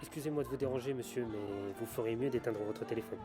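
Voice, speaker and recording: Audio drama casting: insistent, earnest male conspiracy theorist played by a man, very quick delivery, mid-pitched, speaking in a bar. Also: natural voice. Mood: urgent warning. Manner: earnest, urgent explaining. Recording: music and bar chatter behind.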